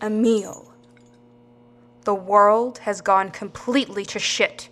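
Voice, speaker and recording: A former soldier held in handcuffs. Her voice is mid-pitched. She speaks bluntly, demanding answers in a firm, steady way. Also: takes it slowly. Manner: quiet then blunt and demanding. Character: former military woman, handcuffed captive, blunt.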